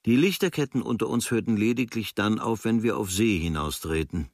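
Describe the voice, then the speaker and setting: A man reading a travel diary as scripted literary radio narration, in measured, observational short phrases. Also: on the low side, lively intonation, no room sound, clean studio sound. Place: studio.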